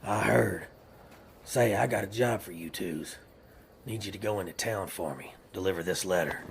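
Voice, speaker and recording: A deep, gravelly outlaw boss gives orders in a rural Western drawl. He is scheming and menacing, commanding yet slyly coaxing, a villain from a western radio play with noise behind him. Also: moderate pace. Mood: brisk, commanding. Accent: rural American Western drawl. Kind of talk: western comedy audio drama acting.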